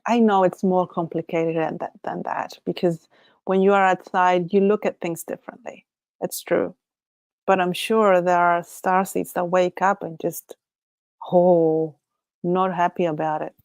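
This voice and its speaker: A woman's voice: fairly low pitch, flat delivery, measured pace, darkish tone, no room sound. A woman from Chile with a Chilean Spanish accent, Spanish her first language, hosting a casual solo livestream chat. She muses aloud, often laughs, and trails off and restarts her thoughts. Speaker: livestream host.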